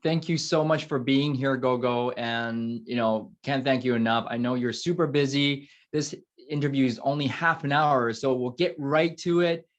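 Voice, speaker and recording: A man hosting an interview, effusive and quick to react with "wow" and praise. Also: medium pitch, dull audio. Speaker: host.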